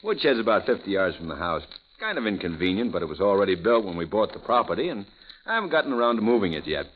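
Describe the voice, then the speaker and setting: An actor playing an easygoing young architect husband with an affable, hearty manner, in 1940s radio acting on a dull, faintly hissing old recording. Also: male voice, fairly low pitch, sing-song, fast.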